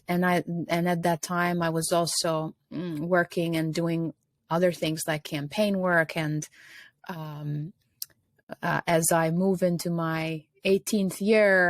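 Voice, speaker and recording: A woman's voice: low voice, level tone, slow pace, no echo, studio quality. A middle-aged woman from Albania, a guest in an interview, speaking reflectively with many fillers.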